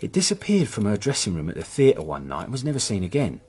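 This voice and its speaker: A man's voice: lowish voice, huge pitch swings, quick pace, darkish tone, husky, full character voice. A man playing a nervous petty crook and hapless henchman in a radio drama, with an English accent and a hesitant, self-excusing manner. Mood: storytelling. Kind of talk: British radio drama acting.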